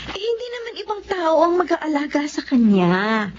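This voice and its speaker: A woman's voice: extremely high pitch, sing-song, moderate pace, a little hiss or hum. A woman playing a determined Filipino working mother in her thirties in a radio drama. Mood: firm, insistent. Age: 30s. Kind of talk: radio drama acting and narration. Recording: muffled lo-fi audio under music.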